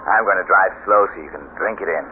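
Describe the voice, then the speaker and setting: An actor playing a wealthy, genial husband and master of the house, urbane and reassuring, in a radio mystery. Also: male voice, low-pitched, brisk, natural voice. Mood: proud. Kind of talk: radio mystery drama acting. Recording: tinny vintage radio, noisy hiss.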